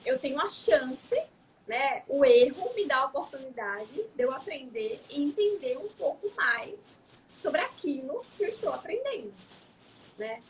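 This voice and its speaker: A woman's voice: high-pitched, big pitch swings, measured pace, a little hiss or hum, rough recording. A young adult woman, a psychologist, talking in a casual interview, reflective and often adding tag questions. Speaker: psychologist guest.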